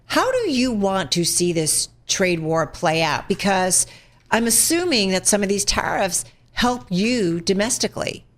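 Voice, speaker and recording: A woman's voice: medium pitch, big pitch swings, a little slow. A woman hosting a broadcast business interview, putting questions in a conversational way and rephrasing as she goes.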